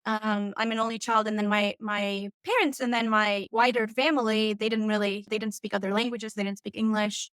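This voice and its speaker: A woman from Turkey whose first language is Turkish, a coach guest on a podcast, chatting casually and warmly with many fillers. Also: medium pitch, little pitch movement, normal speed, hardly any pauses, clear, resonant tone, dry recording. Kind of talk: casual interview chat.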